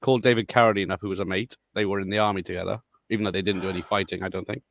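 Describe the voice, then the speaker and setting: A man's voice: sits low, fairly even intonation, rapid-fire, gravelly, a bit bright. A man chatting casually over a movie, giggling often as he tells behind-the-scenes stories. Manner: chatty, giggles a lot, tells film trivia. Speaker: co-host of a movie watch party. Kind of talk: casual commentary chat.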